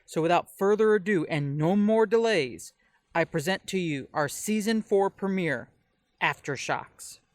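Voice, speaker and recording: A man's voice: sits high, lively intonation, measured pace, dry recording. A man reading a scripted welcome and introduction as the host of a podcast.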